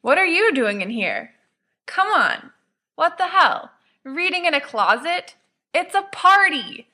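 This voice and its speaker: A woman's voice: very high-pitched, sing-song, takes it slowly, pushed and bright, pitched above the performer's own voice, heavily acted. A woman voicing a bossy, teasing teenage boy, blunt and insistent, in an audiobook story. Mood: tipsy, insistent. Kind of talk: fan fiction audiobook character.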